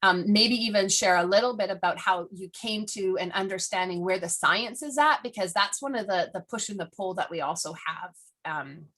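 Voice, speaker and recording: A woman's voice: medium pitch, moderate pace, a bit bright. A woman hosting an interview podcast, eager and upbeat, with frequent fillers and restarts. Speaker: podcast host.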